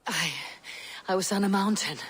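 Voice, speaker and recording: A woman's voice: medium pitch, moderate pace, slightly rough, natural voice. A woman playing a troubled, searching Norse warrior in a video game, speaking earnestly and dramatically. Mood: shaken, recounting. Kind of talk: video game cutscene acting. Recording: outdoor ambience noise behind.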